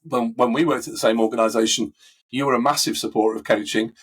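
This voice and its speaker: A man with a husky, recovering voice, answering interview questions in a thoughtful, conversational way with frequent fillers and restarts. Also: mid-range voice, fairly even intonation, quick pace. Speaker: coaching expert guest.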